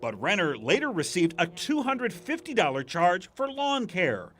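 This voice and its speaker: A male investigative reporter narrates a TV news story. His voice sits high for a man and swings widely in pitch, giving the report an animated delivery. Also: moderate pace.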